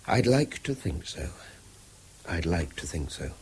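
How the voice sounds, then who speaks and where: Schoolteacher lead, middle-aged, educated English. Husky, animated, articulate and exasperated radio drama delivery. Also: male voice, low voice, a little slow, heavily acted. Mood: troubled, polite. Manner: clipped, articulate, demanding. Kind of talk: 1970s radio drama acting.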